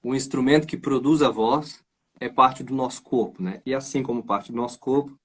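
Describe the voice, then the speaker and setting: Brazilian male host and voice coach, husky mid-range voice, casual explanatory delivery. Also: medium pitch, moderate pace. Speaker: live show host and voice coach.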